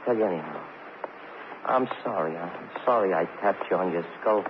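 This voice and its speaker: A muffled, hissy vintage radio recording carries an actor as a down-and-out drunk man. He talks in halting street slang, circling back on his words, pleading and sorry for himself like someone cornered. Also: low voice, lightly acted. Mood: needling, weary. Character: rambling, self-pitying gutter drunk man, cornered and pleading. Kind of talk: 1950s radio crime drama acting.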